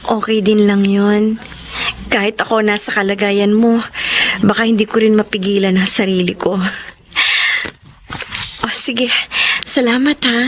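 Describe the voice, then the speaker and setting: A woman acts out a deferential young Filipino mother in a radio drama. Her voice sits at a middle pitch and sounds humble and earnest. The recording carries noticeable background noise. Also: fairly even intonation, a little slow, long pauses, lightly acted. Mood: apologetic, sincere. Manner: polite, deferential. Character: humble, grateful, apologetic young single mother. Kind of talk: Tagalog radio drama acting.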